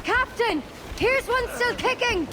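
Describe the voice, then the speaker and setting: A woman's voice: extremely high pitch, animated, unhurried, noisy background. A woman playing a spirited young pirate quartermaster in a video game, heartily calling out orders. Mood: shouting, urgent.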